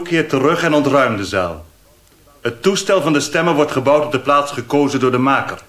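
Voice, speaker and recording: An actor playing a courteous but commanding ruler, speaking with measured authority in a radio drama. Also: male voice, mid-range voice, fairly bright tone.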